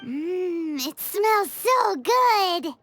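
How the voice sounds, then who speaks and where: Game role: excitable little fairy mascot, childlike, squeaky, tiny-creature pitch, very animated. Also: female voice, very slow, no room sound, heavily acted. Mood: cheerful, eager. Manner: childlike, refers to self in third person. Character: tiny excitable floating fairy companion, female, childlike, third-person talker. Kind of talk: video game character acting. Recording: music behind the voice.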